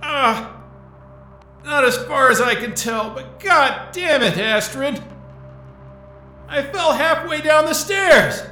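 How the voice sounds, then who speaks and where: A man acting in an audio drama as a panicked, exasperated, foul-mouthed man who speaks haltingly. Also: extremely high pitch, animated, pushed and bright, echoey room, faint music behind, heavily acted. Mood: pained, angry. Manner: swearing, halting, agitated.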